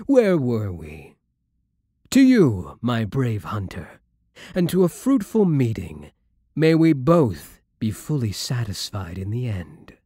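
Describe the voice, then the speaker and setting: A suave, possessive vampire aristocrat, acted by a man, speaking slowly and seductively in a close, dry ASMR roleplay. His pitch swoops and dips through courtly teasing, with polite menace underneath. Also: mellow, slightly rough, no echo, full character voice. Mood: pleased, courtly. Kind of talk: intimate ASMR roleplay acting.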